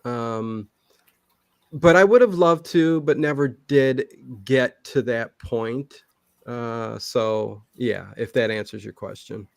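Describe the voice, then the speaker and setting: A man's voice: medium pitch, slow pace, gravelly, darkish tone, clean tone, dry recording, clean studio sound. A man chatting casually in an interview, rambling and emphatic, often restarting his sentences halfway through. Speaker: livestream channel host being interviewed.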